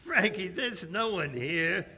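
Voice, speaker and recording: An actor playing a cocky male blackmailer in the style of a 1940s radio drama, smug and deliberate. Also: high-pitched, lively intonation, pushed and bright, husky, exaggerated voice. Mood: defiant.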